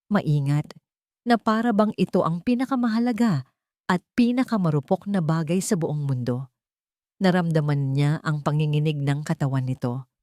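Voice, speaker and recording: A woman's voice: mid-range voice, warm tone, dry recording, clean studio sound. A woman reading a scripted, dramatic audio story as a storyteller.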